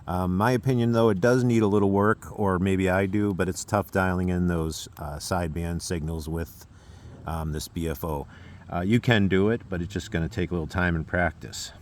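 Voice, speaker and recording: An American man casually presenting a demonstration as a hobbyist, with a halting, unscripted delivery. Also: deep voice, slight background noise.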